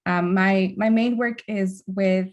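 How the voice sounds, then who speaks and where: A woman's voice: mid-range voice, fairly even intonation, takes it slowly, darkish tone, lo-fi sound. A young woman whose first language is Spanish, speaking earnestly as a panelist at a community event. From United States. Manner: earnest, with frequent "um" and "uh". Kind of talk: panel talk.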